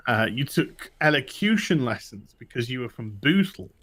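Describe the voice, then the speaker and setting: A man's voice: medium pitch, lively intonation, moderate pace, a bit bright. A British man co-hosting a TV review show, telling things in a casual, rambling way. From United Kingdom. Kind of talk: casual recap chat.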